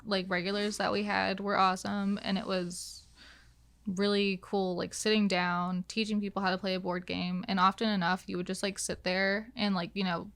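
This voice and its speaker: A woman's voice: mid-range voice, level tone, normal speed, fairly bright tone. A young woman talking casually and conversationally as a guest on a podcast. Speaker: podcast guest, game designer.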